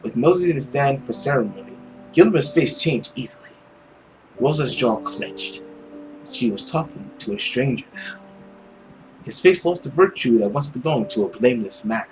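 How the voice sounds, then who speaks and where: Male narrator, dramatic visual novel reading, deliberate, hushed, expressive intonation, music behind. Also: mid-range voice, poor audio.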